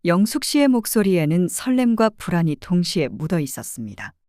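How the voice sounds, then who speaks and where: A woman narrates a dramatized true story as a private detective. Her voice is mellow and warm, with lively pitch movement. The recording is dry and close. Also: brisk, dry recording. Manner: expressive, storytelling.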